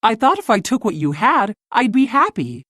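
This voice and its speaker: A woman playing a male cartoon mouse villain with a lonely side, in measured, plain-spoken storybook delivery. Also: a little high, very animated, a bit bright, no room sound, clean studio sound. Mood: sheepish, lonely.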